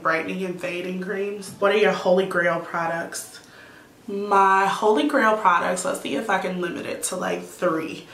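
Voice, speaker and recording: A woman in her thirties answering viewer questions in a casual vlog, chatty and relaxed with many "um"s and "like"s. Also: lowish voice, roomy sound, low-quality recording. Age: 30s. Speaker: YouTuber, teacher. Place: indoor room at home.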